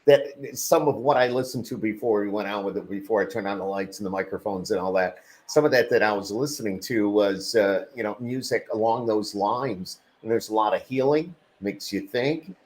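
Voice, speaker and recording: A man hosts a casual podcast interview with a somewhat low voice. He talks slowly and meanders through his questions. He keeps up a steady stream of small encouraging replies. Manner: slow, rambling, with many backchannels. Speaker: podcast host.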